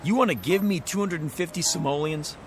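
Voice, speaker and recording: A man's voice: fairly high pitch, brisk, soft background music, lightly acted. A man playing a slangy used-car lot owner with a salesman's patter in an audio drama. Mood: reluctant, sheepish.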